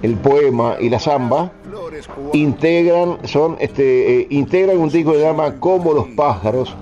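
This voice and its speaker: A man's voice: on the high side, a little slow, faint music behind. A man in reflective, conversational radio talk as a guest musician. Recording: dull lo-fi audio with loud noise.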